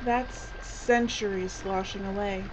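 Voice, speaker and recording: A woman acting a brisk, sardonic, no-nonsense film director in an audio drama. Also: medium pitch, steady tone, lightly acted. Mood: wry, suspicious. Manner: bossy, wry. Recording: dull, slightly noisy recording.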